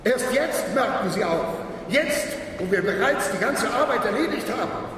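An actor playing an impassioned visionary billionaire in a radio drama, speaking in a declamatory, emphatic way. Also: male voice, sits high, lively intonation, moderate pace, crowd noise. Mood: indignant. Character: impassioned visionary male billionaire, backer of a space project. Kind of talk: radio drama acting, oratory. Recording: echoey hall, distant, noisy ambience.

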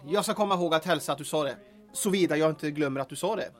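A man's voice: fairly high pitch, soft background music, natural voice. A man playing a flippant, muttering mechanic in an audio drama, with a dry, sarcastic manner. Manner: sarcastic, deadpan.

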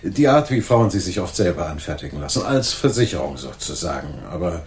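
Polite police detective, calm, quietly amused, lowish voice, animated intonation, noir radio play. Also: male voice, roomy sound, slight background noise. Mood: calm, expert. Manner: measured, courteous, knowledgeable. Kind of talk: noir radio drama dialogue.

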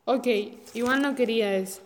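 A woman's voice: a little high, normal speed, natural voice. A woman playing a sassy, defiant schoolgirl who teases her family in an amateur radio play. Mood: playful. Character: cheeky, defiant schoolgirl daughter and sister. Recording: reverberant recording with faint noise.

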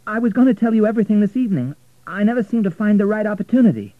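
An emotional young woman in love, acted out by a male narrator in a storybook reading. She is proud and earnest, with an intense, pleading delivery pitched far up. The recording is narrow, like a phone line. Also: animated, rapid-fire, no echo. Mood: flustered.

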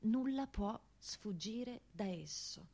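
A woman narrating poetic radio theatre in a hushed, reflective manner, with fragmented phrases and pauses. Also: mid-range voice, animated, creaky. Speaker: actress-narrator.

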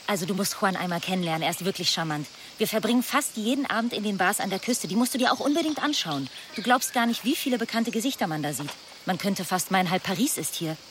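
A woman's voice: medium pitch, lively intonation, quick pace, few pauses, noisy background, close to an everyday voice. A woman playing a naive, vain, jilted young glamour girl in a radio drama, with a chatty, theatrical manner. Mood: breezy, chatty.

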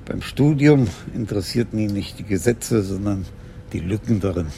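An older police commissioner in a radio drama, a tender husband with a dark, mellow timbre. His voice sits low and he speaks with measured reassurance. A faint rumble lies underneath. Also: animated, vocal fry. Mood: reflective. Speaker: actor in a radio crime drama. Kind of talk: German radio play acting, intimate dialogue.

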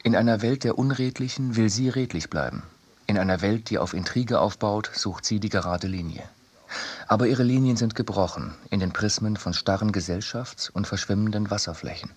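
A man reading a scripted literary essay for radio in a measured, deliberate way. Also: lowish voice, breathy edge. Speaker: reader in a radio production.

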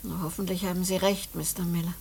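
A woman's voice: on the low side, level tone, quick pace, vocal fry, darkish tone, breathy edge. An actress playing a lonely, anxious middle-aged widowed mother in a German radio play, speaking in a hesitant, homely way. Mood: worried, wistful. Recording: noisy old radio drama recording, clicks.

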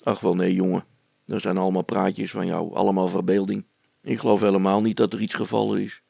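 Man acting a blunt, creaky-voiced grumbling fellow. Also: low-pitched, level tone, brisk, warm tone, no room sound, full character voice. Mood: dismissive. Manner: blunt, dismissive, colloquial. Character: gruff, bold man scolding a boy.

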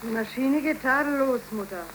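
An actress playing a cheerful, devoted young seamstress in love, in a 1930s German radio play, with an eager, expressive delivery. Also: female voice, high-pitched, normal speed, close to an everyday voice. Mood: pleased. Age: young adult. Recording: noisy 1931 radio recording.

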